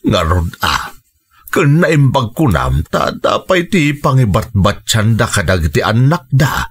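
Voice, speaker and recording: A man acting a worried family father in an Ilocano radio drama, with an expressive, sighing delivery and a husky voice. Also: on the low side, unhurried, exaggerated voice. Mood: sympathetic.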